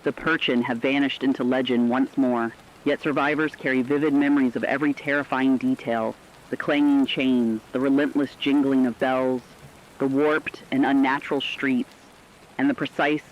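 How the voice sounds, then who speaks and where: A man's voice: medium pitch, steady tone, normal speed, lo-fi sound, a little hiss or hum, lightly acted. A man playing a radio anchor who solemnly addresses listeners in formal, portentous scripted horror narration. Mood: grave. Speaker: radio host.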